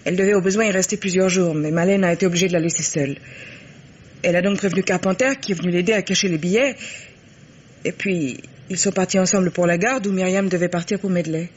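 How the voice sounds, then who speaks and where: An actress playing a frightened, defensive young woman accomplice under questioning, halting and insistent, in old French radio mystery style. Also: fairly low pitch, steady tone, close to an everyday voice. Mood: tense explaining. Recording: muffled, hissy old radio drama recording.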